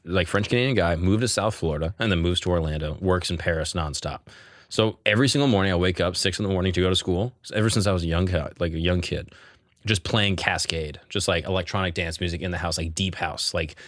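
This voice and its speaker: A man's voice: low voice, a little fast, fairly bright tone, no room sound, clean studio sound. A man in his thirties hosting a casual comedy chat, telling rambling stories full of fillers and easy laughs. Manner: loose, laughing, filler-heavy storytelling. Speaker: podcast host.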